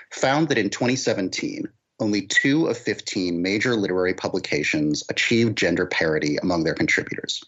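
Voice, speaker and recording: A man speaking as a guest critic in a literary discussion, explaining things in a measured way. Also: deep voice, fairly bright tone. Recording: dull, lo-fi sound.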